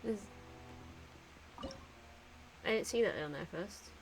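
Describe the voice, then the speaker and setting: A woman's voice: mid-range voice, slow, slight background noise, low-quality recording. A woman giving casual live gameplay commentary, playful and giggly, often muttering to herself. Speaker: streamer playing a video game.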